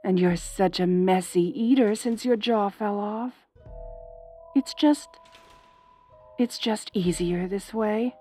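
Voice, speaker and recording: Girl doing a lonely monster girl centaur for asmr roleplay, talks slow, sighs a lot, desperate and caring. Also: mid-range voice, dark tone, faint music behind, lightly acted. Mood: tender, coaxing. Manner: halting, pleading, sighing delivery. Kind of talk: roleplay ASMR audio drama.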